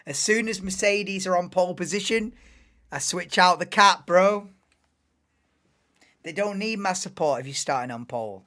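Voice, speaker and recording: A woman hosting a live race commentary stream, excitable and bursting into shouts and laughs, her voice going hoarse. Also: on the low side. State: losing voice, hoarse.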